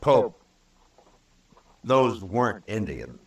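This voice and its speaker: An actor playing a steady, measured U.S. marshal in an Old West radio drama, plain-spoken and probing. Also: male voice, on the low side, lo-fi sound, full character voice. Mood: grave. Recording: roomy old radio recording, distant from mic.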